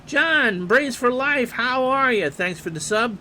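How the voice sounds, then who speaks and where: A man calling a replayed baseball game play-by-play in a calm, unhurried way, reading player stats and chatting with viewers. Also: extremely high pitch, a little hiss or hum. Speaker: play-by-play announcer and streamer.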